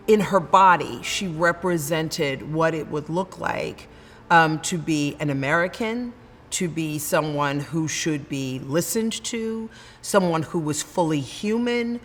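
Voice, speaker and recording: A woman literature scholar giving thoughtful, earnest lecture commentary, pausing now and then. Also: low-pitched, in a large hall. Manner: thoughtful, earnest, with pauses and fillers.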